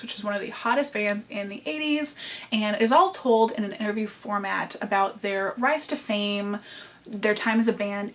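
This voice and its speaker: A young woman with a nasal Midwestern American accent, a book vlogger giving enthusiastic recommendations and often repeating words for emphasis. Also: mid-range voice.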